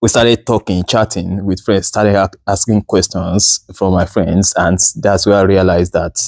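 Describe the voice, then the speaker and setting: A man's voice: low-pitched, level tone, unhurried, no echo, studio quality. A man from Nigeria in his thirties, a guest telling his story in a casual interview, hesitant with many fillers and restarts.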